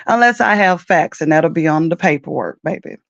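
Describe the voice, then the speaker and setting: A woman with a raspy, deep morning voice, chatting loosely about reality TV as the host of a commentary show. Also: lively intonation, a little fast, no room sound, highs cut off. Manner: chatty, loose, with many fillers and asides.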